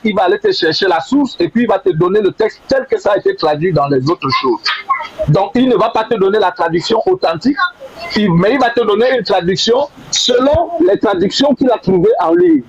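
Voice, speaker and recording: A man's voice: sits high, animated, a little fast, husky. A man hosting a heated online debate, insistent and repeating himself as he presses the other speaker. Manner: insistent, repeats words and pleads. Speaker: debate host. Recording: muffled with loud noise.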